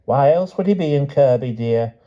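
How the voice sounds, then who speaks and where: A man voicing a frail, timid old aunt in an audiobook story, with a quavering, hushed voice and a mild, simple manner. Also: mid-range voice, animated, moderate pace, voice pushed up, lightly acted. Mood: mild, firm. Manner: mild, simple, endearing old-fashioned address. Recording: dull, muffled audio.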